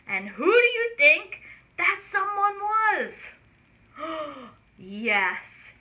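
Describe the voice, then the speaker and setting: A woman storyteller reading aloud to small children, expressive and explaining things simply. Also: very high voice, extremely slow. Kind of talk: children's read-aloud narration with asides.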